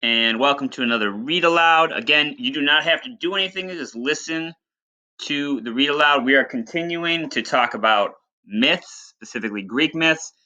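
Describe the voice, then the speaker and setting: A man's voice: a little high, moderate pace, pushed and bright. A man reading a story aloud like a teacher, with informal, instructive asides to his listeners. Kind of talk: read-aloud storytelling with plain explanatory asides. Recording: dull, lo-fi sound.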